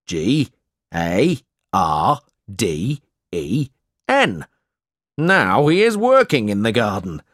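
A man doing a loud, bullying schoolmaster. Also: medium pitch, wildly swooping pitch, measured pace, few pauses, dry recording, clean studio sound. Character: cruel, boastful male schoolmaster, middle-aged. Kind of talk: audiobook character reading.

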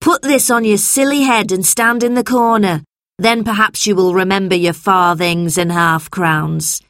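A woman plays a big, cross schoolmistress from a children's story. She scolds and barks orders imperiously. Her delivery is slow and deliberate, with some creak in the voice. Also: mid-range voice, fairly even intonation, fairly bright tone, dry recording, studio quality. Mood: angry, scolding. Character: large, stern, bad-tempered schoolmistress who bullies children.

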